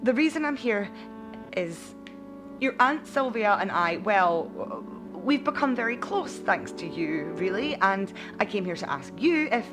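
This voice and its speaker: A woman voicing a huge, kindly older male mentor with a calm, matter-of-fact manner in a bedtime story. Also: medium pitch, measured pace, music in the background. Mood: nervous, heartfelt.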